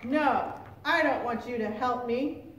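A woman voicing a drunken, menacing old grandfather in a storybook reading, shouting forcefully. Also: on the high side, unhurried, natural voice. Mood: angry, gruff. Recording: roomy, distant mic, faint noise.

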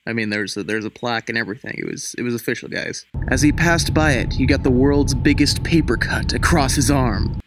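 A man's voice: medium pitch, fairly quick, noisy background. A young man giving a mock-dramatic story reading, theatrical, breaking into casual wry asides. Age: young adult. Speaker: host reading own childhood story. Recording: music under the voice. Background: music.

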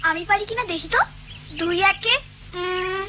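A woman voicing an eager, clever, cheerful village girl in an animated cartoon, lively and childlike, with a Bangladeshi accent. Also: very high-pitched, moderate pace, a little hiss or hum. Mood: concentrating, proud. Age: child figure. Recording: music under the voice.

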